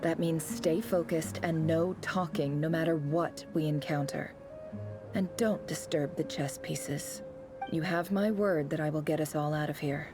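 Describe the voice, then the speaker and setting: A self-assured, commanding boss speaks in a low female voice. Her delivery is deliberate, theatrical and sardonic, like a sly video game schemer. Music plays clearly behind her. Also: fairly even intonation.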